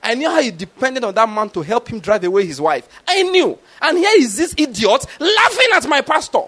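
A middle-aged preacher with a notably high voice for a man. He preaches in a storytelling way, often stopping to ask the congregation whether they follow. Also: very animated, a little fast, a bit bright. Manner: storytelling with rhetorical questions. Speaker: pastor. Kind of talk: sermon.

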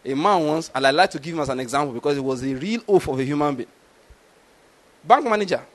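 A man's voice: a little high, lively intonation, quick pace. A middle-aged man preaching a sermon, telling stories and asking rhetorical questions. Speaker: pastor.

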